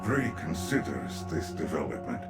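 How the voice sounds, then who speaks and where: Very deep, swooping otherworldly creature. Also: male voice, big pitch swings, normal speed, reverberant, loud music behind the voice, exaggerated voice. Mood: pensive. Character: odd, pensive otherworldly creature speaking in third person. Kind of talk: scripted fantasy game acting.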